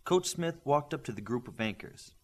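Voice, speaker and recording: Guy reading an adventure audiobook, really lively up and down delivery. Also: medium pitch, moderate pace. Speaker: audiobook narrator. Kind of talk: scripted narration of an adventure story.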